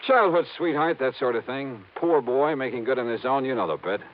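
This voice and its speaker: A man's voice: mid-range voice, expressive, fairly quick, slight background noise, lightly acted. An actor playing a wisecracking male insurance investigator in a 1950s radio drama, breezy and wry. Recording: muffled old radio recording.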